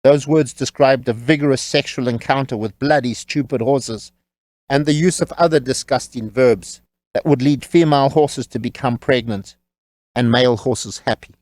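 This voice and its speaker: A man narrating a scripted audiobook story in a measured, wry storytelling manner. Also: mid-range voice, darkish tone, no room sound.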